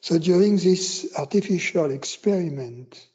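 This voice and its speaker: Very slow-paced man guiding meditation. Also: a little high, expressive, warm tone, husky, muffled recording. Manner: unhurried, with long pauses between short phrases. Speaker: meditation teacher. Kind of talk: guided meditation instruction.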